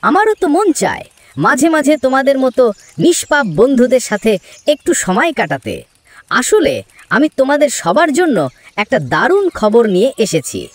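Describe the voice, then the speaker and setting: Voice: high-pitched, big pitch swings, moderate pace. An actor plays a crafty male fox from a children's cartoon. The delivery swoops dramatically up and down, wheedling and falsely sweet, with theatrical mock friendliness. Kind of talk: children's cartoon story dialogue.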